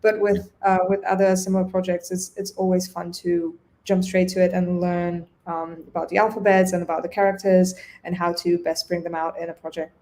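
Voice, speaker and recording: A woman's voice: fairly low pitch, little pitch movement, fairly quick, hardly any pauses, darkish tone. A young woman from Bulgaria, a native Bulgarian speaker, chatting in an interview about her design work, thoughtful and restarting often. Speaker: guest designer. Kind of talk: casual interview chat.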